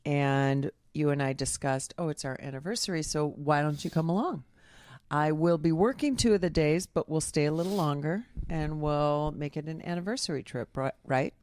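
A middle-aged woman in relaxed podcast banter, teasing her partner and laughing easily. Her voice sits lower than most women's. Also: moderate pace, dry recording. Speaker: podcast co-host. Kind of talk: casual banter.

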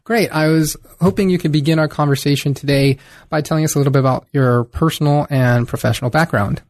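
A man hosting an academic book podcast, reading a formal introduction and then asking questions in a measured way. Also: a little high, a little mellow, no room sound, studio quality. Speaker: podcast host. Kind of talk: scripted introduction and interview questions.

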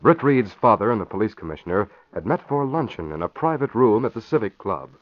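A man's voice: on the low side, very animated, fairly quick. An American man delivering scripted narration and announcements as an emphatic 1940s radio announcer. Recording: dull vintage radio recording.

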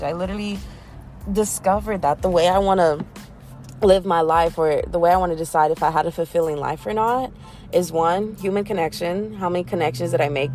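A woman in her early twenties hosting a casual solo podcast, chatty and self-deprecating, often jumping up in pitch with giggles. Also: a little mellow. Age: 20s. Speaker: podcast host. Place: in a car.

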